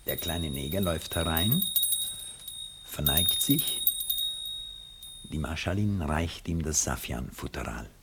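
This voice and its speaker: A man calmly reading stage directions aloud as narrator of a 1960s radio play. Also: extremely low pitch, slow, long pauses, heavy background noise. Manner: calm, neutral reading. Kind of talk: scripted narration.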